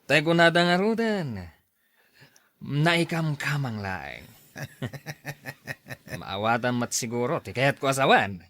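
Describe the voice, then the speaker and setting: A careless, defensive husband who drinks, in an Ilocano radio drama. His mid-pitched voice swings widely in pitch and is recorded dry. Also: very animated, slow, long pauses, a bit bright, no room sound, full character voice. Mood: tipsy, boastful.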